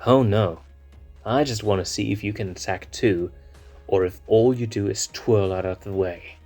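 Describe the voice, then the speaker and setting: A man playing a proud, hot-headed lion cub prince with a low voice and a defiant edge. He snaps back quickly and loves to boast. Soft music plays behind this storybook reading. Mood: smirking. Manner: defiant, temperamental.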